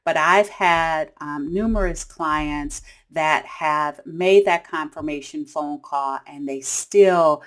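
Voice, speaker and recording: Low-pitched woman explaining business tips. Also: slow, hardly any pauses, a bit bright. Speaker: host of a tips series. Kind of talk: instructional explainer for business teams.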